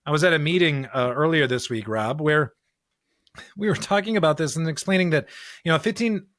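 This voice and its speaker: A man's voice: on the high side, fairly quick, a bit bright, dry recording, clean studio sound. An American man co-hosting a religious discussion podcast, speaking earnestly and emphatically and repeating phrases to build his points. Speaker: podcast co-host, preacher.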